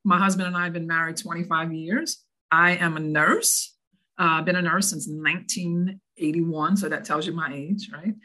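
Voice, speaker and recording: A woman's voice: on the low side, steady tone, normal speed, pushed and bright. A woman in her sixties from New Orleans with a New Orleans accent, chatting as an interview guest and telling her story with easy laughs. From New Orleans, Louisiana. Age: 60s. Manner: chatty, laughs easily, adds "okay?" and "right?".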